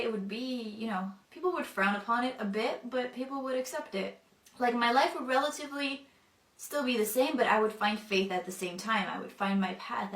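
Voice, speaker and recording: Hesitant young woman, roomy distant sound. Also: medium pitch. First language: not English. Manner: thoughtful, hesitant, many fillers. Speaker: convert telling her story. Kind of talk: personal testimony.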